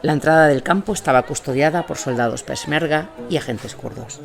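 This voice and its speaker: A man's voice: fairly high pitch, brisk, creaky. A man reading scripted documentary narration as the narrator. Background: music under the voice.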